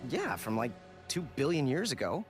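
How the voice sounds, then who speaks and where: A man's voice: mid-range voice, wildly swooping pitch, moderate pace, soft background music. An actor playing a cocky, eager boy adventurer in a video game, speaking casually. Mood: defensive. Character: cocky, excitable boy adventurer, young nephew.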